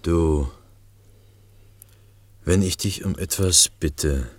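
A man's voice: low-pitched, drawn-out words, dry recording, exaggerated voice. An actor playing a wry, talkative man from a film crew who needles his colleague in a radio play. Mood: chatty, insistent. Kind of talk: German radio drama acting.

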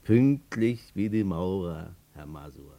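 A man's voice: deep voice, expressive, takes it slowly, mellow, full character voice. An actor playing a wry barber who confides his memories to customers in a colloquial radio drama narration. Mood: confiding, reminiscing.

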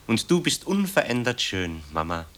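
A man's voice: medium pitch, sing-song, moderate pace, close to an everyday voice. An actor playing a restless, evasive grown son in a German radio play, placating his mother in a guarded, dryly ironic way. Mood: light, deflecting.

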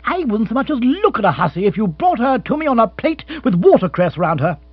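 A man's voice: very high-pitched, sing-song, fairly quick, voice pushed up, full character voice. A man voicing an exasperated young lord in a comic audiobook, full of blustering, exclamatory upper-class slang. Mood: indignant. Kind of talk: comic audiobook character acting. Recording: muffled, echoey recording with hum.

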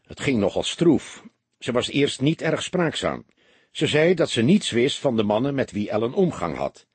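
A male narrator plays an earnest young man in a crime audiobook, explaining himself deferentially and a little hesitantly. The voice is raspy and lively in pitch, recorded dry. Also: moderate pace, no echo. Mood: earnest, reporting. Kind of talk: audiobook character dialogue.

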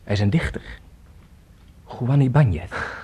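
An actor in a radio play voicing a weary Spanish man who is haunted by memories, speaking in a brooding, theatrical, halting way. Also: medium pitch, lively intonation, mellow, slightly rough. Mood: lively, hosting. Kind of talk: radio drama acting. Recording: noisy radio drama recording.

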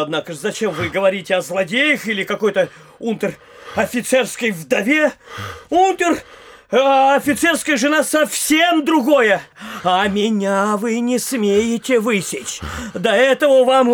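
Very high-pitched, blustering young gentleman. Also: heavily acted. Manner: halting, stammering, petulant, histrionic. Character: cowardly, blustering, whiny young gentleman official. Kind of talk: theatrical audio-play comedy acting.

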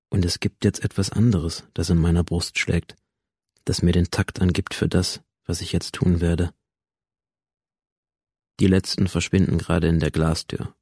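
Radio drama lead: cold, brooding schoolboy monologue, gravelly voice, close dry sound. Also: male voice, low-pitched, a little mellow, no echo, exaggerated voice. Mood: cold, resolute. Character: cold, alienated teenage schoolboy narrating his violent inner world.

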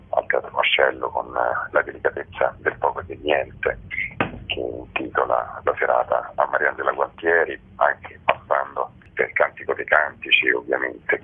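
A man giving halting, measured, reflective answers as a theatre director interviewed over a tinny telephone line. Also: rumbling low, breathy edge. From Rome, Italy. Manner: halting, measured, with pensive pauses. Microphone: phone call.

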